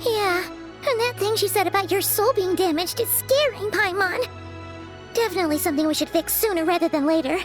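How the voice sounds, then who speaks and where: A woman voicing an excitable, chatty little fairy companion in a game who refers to herself in the third person. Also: extremely high pitch, fairly quick. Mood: thoughtful. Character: tiny floating female fairy companion, chirpy. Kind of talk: game dialogue. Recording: game audio with music behind.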